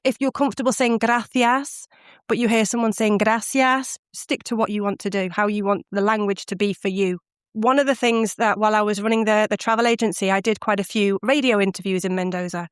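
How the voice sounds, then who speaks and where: Animated British woman telling stories. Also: mid-range voice, brisk, clear, resonant tone, dry recording, studio quality. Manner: animated storytelling, laughs often. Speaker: guest, language course founder. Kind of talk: podcast interview answers.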